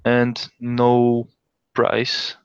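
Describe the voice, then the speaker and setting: A man's voice: medium pitch, completely flat delivery, drawn-out words, clear, resonant tone, no echo. A man hosting a casual tech show, reading out listings and thinking aloud as he goes. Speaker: podcast host. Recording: dull lo-fi sound.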